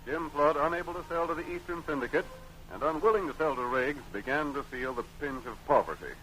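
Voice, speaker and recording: An American male narrator reads scripted scene-setting for an old radio adventure. His voice sits a little high. The 1930s recording has a light layer of noise. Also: a little fast, a bit bright, poor audio.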